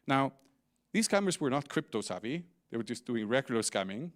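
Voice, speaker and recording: A man's voice: mid-range voice, big pitch swings, a little fast. A middle-aged man with an Icelandic accent gives a conference presentation as a company founder, explaining things with light humor and small restarts. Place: large indoor conference stage.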